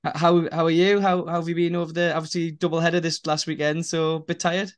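A man's voice: a little high, flat delivery, fast talker, smooth. A man hosting an interview podcast over a video call, asking questions with frequent restarts. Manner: stumbling restarts, asks questions. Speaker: podcast host. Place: small room indoors.

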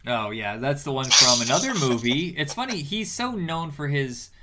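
A man's voice: mid-range voice, expressive, normal speed, heavy background noise. A man co-hosting a casual music podcast, talking keenly and knowledgeably about an artist. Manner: enthusiastic, informative, music-fan talk. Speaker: podcast co-host. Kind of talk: casual chat.

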